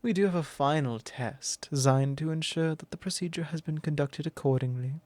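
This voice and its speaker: A man voicing a possessive, commanding male vampire lord in an ASMR roleplay, speaking slow, low and intimate, often in a whisper. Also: darkish tone, dry recording.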